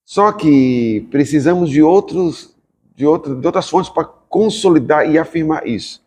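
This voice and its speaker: A man's voice: fairly high pitch, lively intonation, unhurried, darkish tone, husky. A man, hosting a podcast and prompting his guests with questions for the audience. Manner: probing, asks questions for the audience. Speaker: podcast host. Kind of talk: podcast conversation. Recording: long reverberant room sound.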